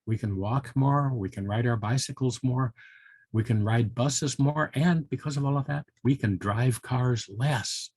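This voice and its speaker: An older man with a husky voice, reading a storybook and chatting to young children. He is folksy and musing, and the recording is close and dry. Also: medium pitch, measured pace, darkish tone, no room sound. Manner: folksy, musing, with interjections like hmm and well. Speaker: volunteer storybook reader for children.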